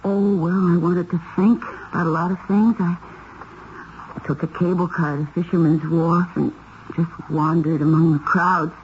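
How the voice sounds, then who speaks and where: Actress for frightened wife under suspicion, radio mystery: low female voice, hesitant phrasing, muffled 1970s broadcast sound. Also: close to an everyday voice. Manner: hesitant, halting delivery. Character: shaken married woman under suspicion, hesitant and fearful. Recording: dull, hissy 1970s radio recording.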